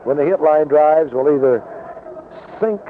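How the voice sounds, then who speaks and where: A man's voice: fairly high pitch, measured pace. An American man calling baseball play-by-play in the style of 1970s radio commentary. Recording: tinny noisy vintage AM radio broadcast.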